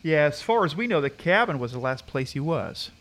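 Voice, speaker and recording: High-voiced, earnest young camp counselor. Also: male voice, very animated, fairly quick, a bit bright. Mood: uneasy. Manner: casual, informal, earnest. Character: concerned, chatty young male camp counselor. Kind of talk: audio drama acting.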